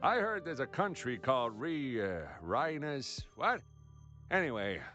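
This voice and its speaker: Animated, easygoing game townsman. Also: medium pitch, unhurried, dull audio, soft background music. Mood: easygoing, informative. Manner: laid-back, animated. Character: easygoing, chatty male local townsman, helpful storyteller. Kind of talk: video game voice acting.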